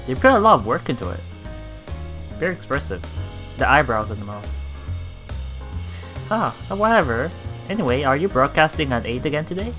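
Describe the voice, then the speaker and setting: A woman gives casual game commentary with a lively, varied pitch. She reads lines aloud and reacts with giggles and repeated words. Soft music plays behind her voice. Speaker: let's play streamer. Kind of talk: casual commentary while reading visual novel lines aloud. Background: visual novel game music.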